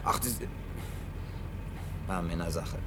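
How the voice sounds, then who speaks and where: An actor playing an awkward, grumbling drifter in a German science-fiction radio play, speaking colloquially, hesitant and gruffly excitable. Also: male voice, sits low, takes it slowly, far from the mic, slight background noise. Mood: awkward, subdued. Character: awkward, grumbling male drifter, last man on earth.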